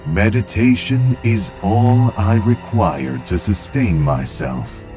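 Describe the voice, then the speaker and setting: Monk master, played by a man: low, unhurried, otherworldly and formal, music faintly behind. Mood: serene, cryptic. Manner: calm, measured, formal. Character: serene, cryptic monk master, otherworldly and changed. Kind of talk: fan-dub game character acting.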